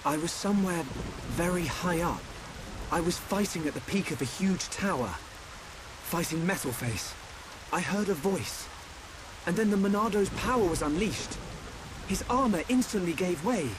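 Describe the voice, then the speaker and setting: An earnest young hero in a game cutscene, thoughtful and determined. His voice is fairly high for a man, with clear rain-like noise behind it. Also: lively intonation, moderate pace, pauses often. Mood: pensive. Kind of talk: video game cutscene voice acting.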